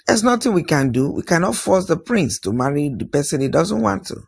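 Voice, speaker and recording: A man voicing a calm, resigned queen in a folktale, reasoning matter-of-factly in a husky voice. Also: fairly high pitch, brisk, heavily acted. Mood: resigned, firm. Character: calm, resigned queen, practical wife and mother.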